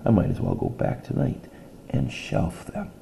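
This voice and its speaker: A man's voice: mid-range voice, huge pitch swings, normal speed, vocal fry, background noise, pitched above the performer's own voice, heavily acted. A man voicing a lonely, polite male librarian in a storybook read-aloud, speaking mildly and asking questions. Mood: firm.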